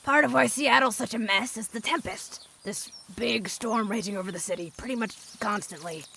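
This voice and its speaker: Woman playing a gravelly, jaded old ghoul woman. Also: fairly high pitch, normal speed, sharp tone, exaggerated voice. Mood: exasperated. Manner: blunt, dry, world-weary. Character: female ghoul, centuries old, gruff jaded wasteland travel guide. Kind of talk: audio drama dialogue.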